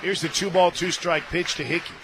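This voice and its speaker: A man doing live radio play-by-play of softball, mixing excitable calls with chatty asides. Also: on the high side, fairly quick, crowd noise, background noise. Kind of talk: live softball commentary mixed with casual chat. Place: stadium radio booth.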